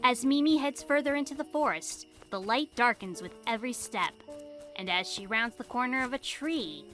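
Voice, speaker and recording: Bright, animated woman narrating a drama. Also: a little high, moderate pace, faint music behind. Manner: suspenseful, theatrical. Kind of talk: dramatic scripted storytelling narration.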